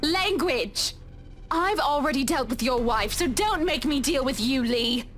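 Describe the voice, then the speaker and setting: High-voiced, genteel young wife. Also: female voice, lively intonation, measured pace, fairly bright tone, soft background music. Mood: stern. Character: genteel, sharp-tongued wealthy pregnant young wife. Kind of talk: visual novel voice acting.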